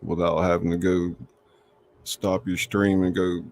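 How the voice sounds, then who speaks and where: A man's voice: level tone, unhurried, a little mellow, slight background noise. A man hosting a casual livestream, reading a script aloud as narrator. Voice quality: low, gravelly. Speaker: host and script reader.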